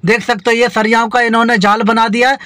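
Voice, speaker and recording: A high-pitched man from India gives a chatty, repetitive tour of roadwork, talking to his viewers like friends. His voice is dry and close on a phone camera outdoors as he rides along. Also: level tone, quick pace, pushed and bright, no room sound. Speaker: vlogger. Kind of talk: narrating a construction tour from a moving vehicle.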